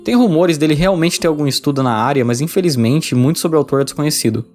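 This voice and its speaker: A Brazilian man delivers a scripted review at a very quick pace. His voice sits a little high for a man, and faint music plays along with it. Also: animated, a little mellow. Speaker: visual novel reviewer. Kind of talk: scripted video review narration.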